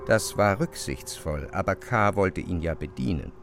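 Low-voiced man narrating literary fiction. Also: animated, moderate pace, vocal fry, over loud music. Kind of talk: literary audiobook narration, dramatized radio reading.

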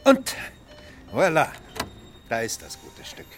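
A polite and self-assured male stranger in a radio drama. His medium-pitched voice sounds in a noisy outdoor street scene with vehicles. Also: wildly swooping pitch, takes it slowly. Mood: courteous, confident. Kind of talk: naturalistic radio play dialogue.

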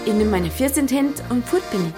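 Casting: actress playing brisk Bavarian innkeeper woman for radio drama, blunt, teasing, unsentimental, music behind. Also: on the high side, expressive, natural voice. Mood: argumentative. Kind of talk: audio drama dialogue in dialect.

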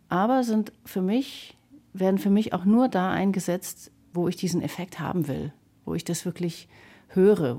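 A woman's voice: on the low side, warm tone. A woman speaking reflectively in an interview, explaining her craft as a sound artist. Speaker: sound artist and radio drama maker. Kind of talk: interview statement about craft.

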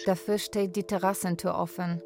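A woman's voice: fairly low pitch, level tone, normal speed, lightly acted. A woman narrating a scripted radio drama in terse, short sentences. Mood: terse, irritated. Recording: faint music behind the voice.

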